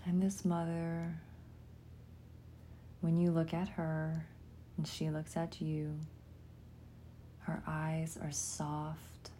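Female meditation guide, soft and mellow, low register, breathy phrase endings, faint rumble behind. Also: completely flat delivery. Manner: soft, soothing, often trailing into breathiness, with long pauses.

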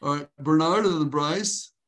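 A man leading a group workshop over a video call from his home, upbeat and joking, slowing down to dictate phrases for people to write down. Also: on the high side, fairly bright tone. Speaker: workshop leader.

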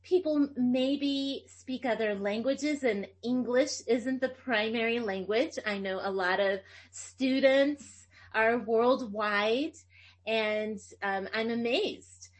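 A woman from Tennessee, who grew up speaking Greek, giving a slow, emphatic lecture on public speaking. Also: on the high side. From Tennessee, USA. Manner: slow, deliberate, emphatic phrasing.

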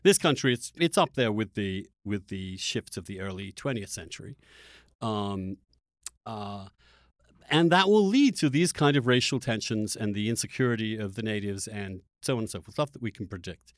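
A man hosting a conversational political discussion, speaking emphatically and haltingly with repeated words. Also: on the low side, animated, no room sound, clean studio sound. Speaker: podcast host and interviewer.

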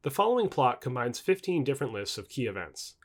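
A man reading scripted nonfiction as an audiobook narrator, with formal, measured enunciation. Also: mid-range voice, big pitch swings.

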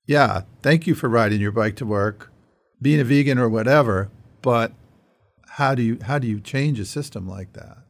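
A man's voice: mid-range voice, normal speed. A man hosting a conversational interview, thinking aloud as he builds long questions. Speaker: interviewer, host.

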